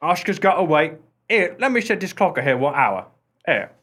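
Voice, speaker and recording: A man's voice: fairly high pitch, big pitch swings, moderate pace, a bit bright, a little hiss or hum. An actor playing an irreverent working-class married man in a radio play, folksy and cheeky in his speech. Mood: jaunty. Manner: cheeky, familiar, unflappable.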